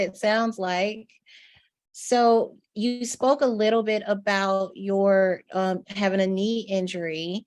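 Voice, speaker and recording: Woman interviewing, mid-pitched voice. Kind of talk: conversational podcast interviewing.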